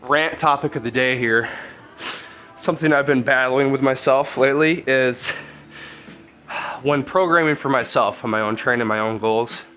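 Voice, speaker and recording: A congested man, a strength coach, chatting casually through a training vlog and thinking out loud. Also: mid-range voice, moderate pace. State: congested, stuffy nose.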